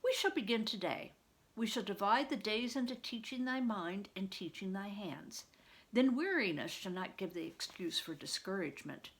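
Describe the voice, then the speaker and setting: Woman reading as a kindly, gravelly monk teacher. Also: mid-range voice, expressive. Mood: reassuring. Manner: archaic, measured, instructive phrasing. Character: kindly patient male monk teacher, archaic speech.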